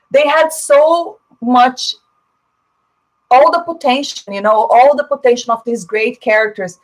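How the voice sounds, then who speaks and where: A woman's voice: sits high, a little slow, long pauses, low-quality recording. A woman from Brazil whose first language is Portuguese, hosting a casual live stream, chatting and answering viewer comments with lots of fillers. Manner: chatty, opinionated, frequent fillers.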